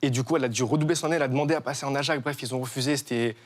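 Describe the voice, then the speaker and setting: A man's voice: medium pitch, steady tone, racing. A young man, guest on a TV talk show, explaining his charity with many fillers and restarts. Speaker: guest, association co-founder. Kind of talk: TV studio interview.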